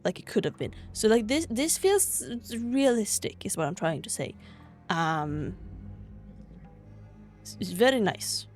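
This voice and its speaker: A woman's voice: mid-range voice, animated, measured pace, long pauses, faint music behind. A woman from Sweden chatting casually over gameplay in a gushing, rambling way, sounding a bit tired. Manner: gushing over cute things. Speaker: gaming vlogger.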